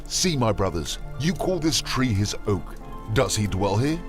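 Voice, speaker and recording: Guy acting as fervent old-time missionary priest, big swings in pitch, declaiming over loud music. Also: big pitch swings, a little slow. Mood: solemn, commanding. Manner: declamatory, formal archaic speech. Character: bold commanding missionary priest, fervent and solemn. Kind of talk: audio drama acting of a classic tale.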